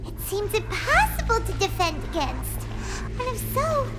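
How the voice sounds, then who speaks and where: A woman's voice: very high voice, sing-song, measured pace, full character voice. A woman voicing a tiny childlike fairy companion in a video game, chatty and anxious, exclaiming a lot. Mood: chatty, concerned. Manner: speaks of self in third person, exclamatory. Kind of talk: video game character dialogue. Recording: loud music under the voice.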